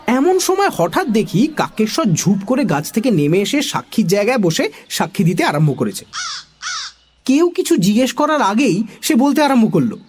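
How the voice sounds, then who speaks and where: A woman's voice: on the high side, sing-song, moderate pace, darkish tone, breathy edge. A woman narrating a scripted comic story for children as the storyteller.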